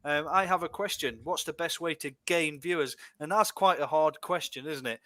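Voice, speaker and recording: A young Scottish man with a bright, forceful voice hosts a casual podcast about streaming. He reads out viewer comments, laughs easily and often restarts his sentences. The sound is dry and close, with little room in it. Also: on the high side, moderate pace, no room sound. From Scotland. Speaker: podcast host. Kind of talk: casual chat, reading comments.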